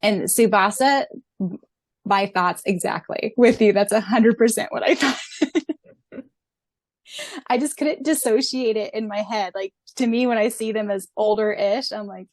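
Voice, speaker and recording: A woman's voice: medium pitch, measured pace, dry recording. Giggly woman podcaster, dry close sound. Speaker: podcast co-host. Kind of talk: casual fan podcast chat.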